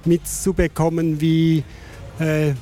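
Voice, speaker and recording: A man, an organizer giving a formal thank-you speech at an exhibition opening, halting often to find his words. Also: fairly high pitch, monotone, mellow, a little hiss or hum. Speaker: project organizer.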